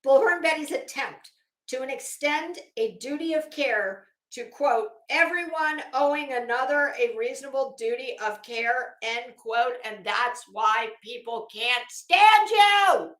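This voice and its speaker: High-voiced sarcastic woman in an echoey room. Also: expressive, slow, a bit bright. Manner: sarcastic, taunting, emphatic. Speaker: online commentator reading a legal motion aloud. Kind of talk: dramatic reading with mocking asides.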